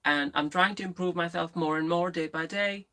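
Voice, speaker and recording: A woman with a lisp who livestreams, chatting candidly and answering viewers in a rambling way with many restarts and pauses. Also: lowish voice, sharp tone. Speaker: live streamer. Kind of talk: casual live stream chat, answering viewers.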